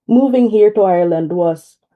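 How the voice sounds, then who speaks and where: A woman's voice: medium pitch, moderate pace. A young woman with a Filipino accent, a radio interview guest calling in, who talks politely and mixes Tagalog and English with frequent fillers. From Philippines. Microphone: phone call. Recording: tinny phone line.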